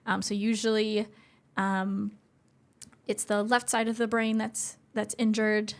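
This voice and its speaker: A young woman with a medium-pitched voice speaks as an interview guest. Her style is explanatory and earnest. She lays out her points step by step, like a specialist talking to the public. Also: flat delivery, takes it slowly.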